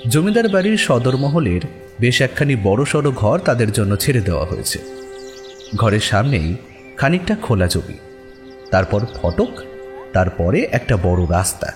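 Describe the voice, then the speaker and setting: Casting: male story narrator, expressive scripted delivery, lively pitch movement, musical backing. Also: normal speed, long pauses. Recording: music behind the voice.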